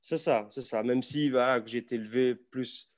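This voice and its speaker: A football journalist from Belgium with a Belgian French accent, chatting casually on a video call, relaxed and rambling with restarts. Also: male voice, medium pitch, normal speed.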